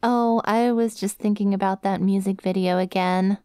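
Female actor for scatterbrained dog-girl student, ASMR roleplay. Mid-pitched, casual, rambling and friendly, recorded dry. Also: steady tone, normal speed, warm tone, clear, resonant tone, dry recording, lightly acted. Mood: sheepish, rambling. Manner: casual, rambling, hesitant, easily sidetracked. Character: scatterbrained, friendly inu dog-girl student, easily distracted study buddy. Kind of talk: ASMR roleplay, one-sided conversation with listener.

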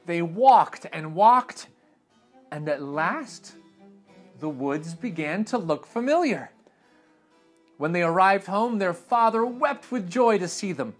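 A young man reading a storybook aloud to children in an animated, expressive way, recorded in a living room. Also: high-pitched, takes it slowly, faint music behind. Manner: animated and expressive, dramatic swings in tone. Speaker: read-aloud host. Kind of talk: storybook read-aloud narration. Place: indoor living room with sofa.

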